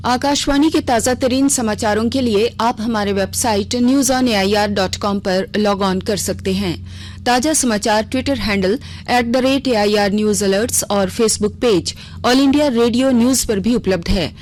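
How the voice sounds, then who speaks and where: A woman's voice: fairly high pitch, a little slow, a little mellow, slight background noise. A woman reading a scripted regional news bulletin on the radio as the news reader.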